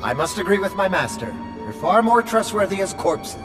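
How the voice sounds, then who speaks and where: A man's voice: sits high, very animated, normal speed, over music. An actor playing a fussy, anxious male droid in a video game, prim and formal in manner. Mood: prim, grim. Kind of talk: cinematic video game dialogue.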